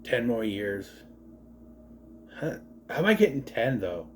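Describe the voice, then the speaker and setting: Late-30s male vlogger, middle pitch, animated delivery, tangent-prone, faint room ambience. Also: measured pace, slight background noise. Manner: rambling, swears freely, gets sidetracked. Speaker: movie reviewer. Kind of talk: casual review vlog.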